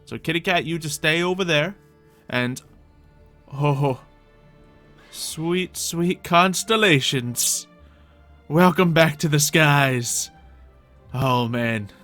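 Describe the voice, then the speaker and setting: A man's voice: a little high, slow pace, long pauses, a bit bright, faint music behind. A man giving casual, improvised gameplay commentary in character, chatting to himself and thinking aloud as he goes. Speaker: gaming video host playing in character.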